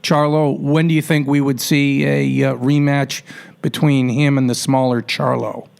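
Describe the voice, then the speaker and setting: A man's voice: medium pitch, level tone, measured pace, vocal fry, darkish tone, clear, resonant tone. A man hosting a radio interview, chatty and colloquial as he sets up questions for a guest. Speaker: radio show host, interviewer.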